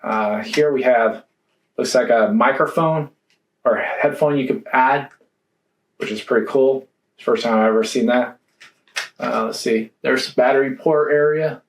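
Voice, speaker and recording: A man giving a casual, hands-on product review, thinking aloud in a halting way. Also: medium pitch. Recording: roomy echo, faint background noise.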